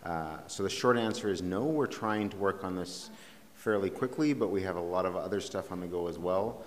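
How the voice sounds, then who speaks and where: Low-voiced town planner answering a question. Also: male voice, moderate pace. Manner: businesslike, matter-of-fact. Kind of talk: formal meeting answer. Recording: reverberant room, off-mic, faint hiss.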